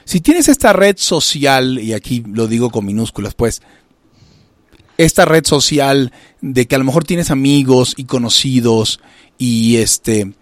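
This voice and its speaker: Halting male talk-radio host. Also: mid-range voice, dry recording. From Venezuela. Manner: halting, many restarts and fillers. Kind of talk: casual talk radio discussion.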